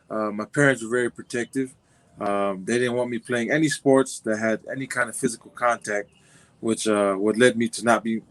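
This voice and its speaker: Laid-back young man, rambling. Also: mid-range voice. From Compton, California. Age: 20s. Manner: laid-back, rambling, many fillers and restarts. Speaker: football player guest. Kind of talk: casual interview.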